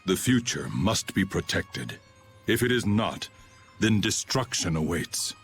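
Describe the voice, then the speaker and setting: An actor playing a grave, aloof swordsman bitter about humanity, speaking in a solemn, formal, measured way in a video game. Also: sits low, sing-song, gravelly, faint music behind, full character voice. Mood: resolute, somber. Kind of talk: dramatic video game voice acting.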